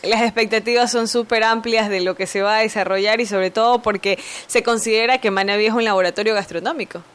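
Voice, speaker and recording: A woman hosting a radio news show puts questions to a guest. She tends to stumble and repeat small words while asking. Her pitch is ordinary. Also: steady tone, fast talker, fairly bright tone, smooth. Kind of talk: radio interview.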